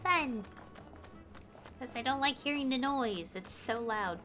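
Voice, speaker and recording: A woman's voice: on the high side, takes it slowly, sharp tone, faint music behind. A woman streaming a video game, reading dialogue aloud and chatting casually to viewers.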